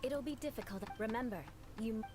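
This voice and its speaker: A woman's voice: a little high, brisk, background noise, natural voice. A woman voicing a proud young noblewoman knight in an anime video game, formal, elegant and instructive. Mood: strict, reassuring. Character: refined young noblewoman knight, proud, composed, exacting mentor.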